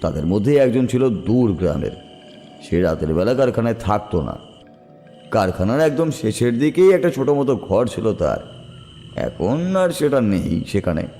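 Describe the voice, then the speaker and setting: A man playing a rural local who recounts dark tales in a colloquial, deliberate way in a horror audio drama. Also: fairly low pitch, big pitch swings, soft background music. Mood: grave recounting.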